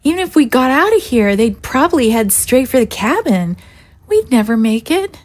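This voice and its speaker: A woman's voice: fairly high pitch, big pitch swings, moderate pace. A woman playing a worried, faltering young girl in an audio drama. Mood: doubtful. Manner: hesitant, faltering. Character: hesitant, worried young girl guarding zombie prisoners.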